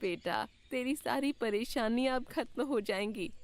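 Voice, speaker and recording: A woman's voice: fairly high pitch, measured pace, a little hiss or hum. A woman voicing a kindly magical old woman in a cartoon fairytale, speaking in a soothing, motherly way. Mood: benevolent, assuring. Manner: soothing, motherly, addresses listener as son. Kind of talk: Hindi cartoon fairytale acting.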